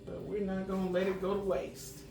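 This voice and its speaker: Slightly hoarse woman cooking at home. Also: medium pitch, flat delivery, brisk, noisy background. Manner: chatty, homey, clears throat often. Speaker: home cooking channel host. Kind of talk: casual cooking chat. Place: home kitchen. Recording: roomy kitchen sound from a distant mic.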